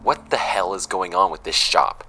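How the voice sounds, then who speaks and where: A man's voice: low-pitched, normal speed, bright, forceful tone, slight background noise, rough recording. An actor playing a young male interviewer who asks blunt, pointed questions in an audio drama. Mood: probing.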